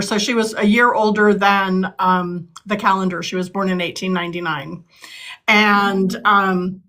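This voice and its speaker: A woman's voice: mid-range voice, bright, forceful tone. A woman in her sixties from California, answering interview questions earnestly, with frequent ums and restarts. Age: 60s. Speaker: political candidate.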